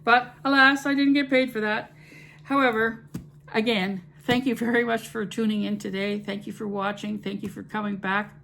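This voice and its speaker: A woman's voice: on the high side, gravelly, fairly bright tone. A middle-aged woman with a Canadian accent talks straight to her viewers in a loose, friendly ramble. She trails off and restarts as she goes. Faint background noise sits under her voice at home. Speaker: cooking channel host. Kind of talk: chatty vlog talk to camera.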